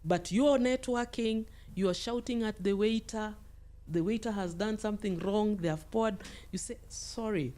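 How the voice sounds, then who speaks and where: A woman's voice: mid-range voice, unhurried, dark tone. A middle-aged woman speaking as a podcast guest, giving conversational advice emphatically and repeating phrases for stress.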